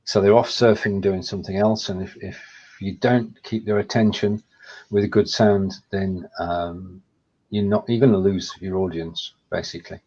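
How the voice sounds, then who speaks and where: A man's voice: low-pitched, fairly even intonation, normal speed, a little mellow, lo-fi sound. A man with a Lancashire accent co-hosting a casual live-stream chat, playful and jokey, stumbling over his restarts. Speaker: co-host.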